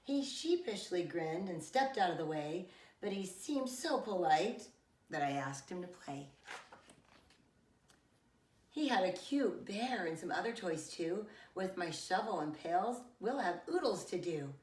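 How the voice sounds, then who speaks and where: Slow-paced woman reading a storybook, roomy echo. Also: on the low side, animated, darkish tone, far from the mic. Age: middle-aged. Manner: slow, deliberate phrasing with dramatic emphasis for young children. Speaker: preschool teacher reading a picture book aloud. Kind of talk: expressive children's storybook read-aloud. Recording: roomy echo, away from the mic.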